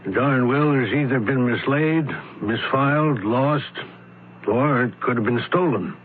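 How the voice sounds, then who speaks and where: An actor playing a weary, fretful estate lawyer, dry and emphatic, in a radio mystery drama. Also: male voice, medium pitch, measured pace, close to an everyday voice. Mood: fretful, confiding. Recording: noisy, tinny old radio broadcast.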